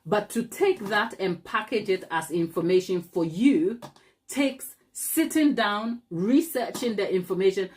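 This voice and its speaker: Ghanaian woman, channel host on a live stream, direct and emphatic, fond of rhetorical questions, mid-pitched voice. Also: expressive, a little slow, a little mellow. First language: not English. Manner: emphatic, talks straight to viewers, rhetorical questions. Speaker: cake maker and channel host. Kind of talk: live stream chat to viewers.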